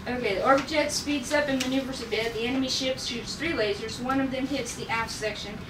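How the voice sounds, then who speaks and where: A woman's voice: fairly high pitch, steady tone, brisk. A woman reading stage directions aloud as narrator at a table read of a script. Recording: echoey room, distant mic, noisy.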